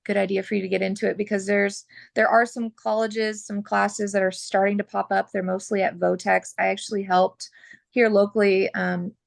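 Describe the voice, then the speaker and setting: A woman from Oklahoma, a butcher, talking frankly as a podcast guest while losing her voice and clearing her throat. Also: mid-range voice, no room sound. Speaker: butcher and mobile processor owner.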